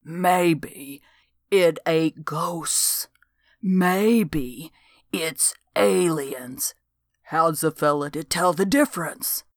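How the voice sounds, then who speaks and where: A woman voicing a suspicious, superstitious old country man with a slow drawl, for an audiobook. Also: on the high side, animated, bright, forceful tone, no echo, clean studio sound, full character voice. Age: older. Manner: rural drawl, slow and conspiratorial.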